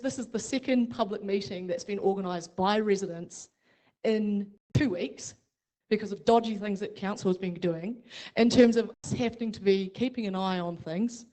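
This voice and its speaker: A woman's voice: mid-range voice, mellow, low-quality recording. A woman with a New Zealand accent speaking as a resident at a public meeting, frustrated and pointed in her questions.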